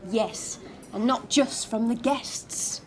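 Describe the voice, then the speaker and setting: A woman's voice: on the high side, slow, crowd noise. A woman playing a genteel, gossipy hotel receptionist in an audio drama, prim and coy. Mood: coy gossiping.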